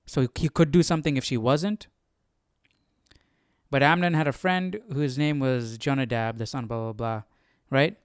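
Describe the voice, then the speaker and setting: Clear-voiced man thinking out loud, dry sound. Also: mid-range voice, moderate pace, no echo. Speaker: host of a live Bible study. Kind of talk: informal study commentary, reading aloud and thinking out loud.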